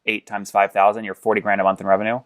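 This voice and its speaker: Male podcast interviewer, low and quick, blunt and persistent, with fry in the voice and a dry close sound. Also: level tone, a bit bright, no echo. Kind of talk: probing business interview.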